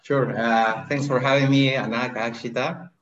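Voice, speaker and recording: A man in his thirties from Peru, a podcast guest talking casually about himself with thoughtful pauses and frequent fillers. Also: medium pitch, steady tone, a crowd in the background. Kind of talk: casual conversation, introducing himself. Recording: roomy, dull lo-fi remote recording.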